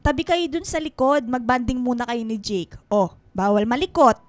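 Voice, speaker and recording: A woman's voice: a little high, moderate pace, no echo, soft background music, close to an everyday voice. A woman playing an effusive, doting Filipina godmother in a radio drama, gushing and exclaiming. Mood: cheerful.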